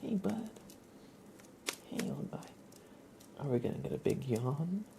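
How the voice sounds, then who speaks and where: A man hosting an ASMR livestream, chatting casually in a soft, gentle, whispered way. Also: on the high side, animated, a little slow, creaky, background noise.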